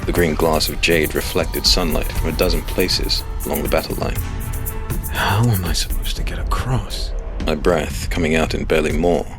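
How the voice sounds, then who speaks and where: Very deep-voiced man narrating fantasy. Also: normal speed, over music. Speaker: narrator reading as the story's first-person hero. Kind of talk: scripted dramatic fantasy narration.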